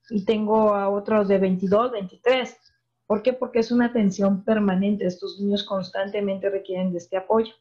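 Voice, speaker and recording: Lady psychologist talking to parents on a video call, warm mellow voice, kinda muffled audio. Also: medium pitch. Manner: explanatory, asks listeners rhetorical questions. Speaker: psychologist giving a talk to parents. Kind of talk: virtual lecture to parents.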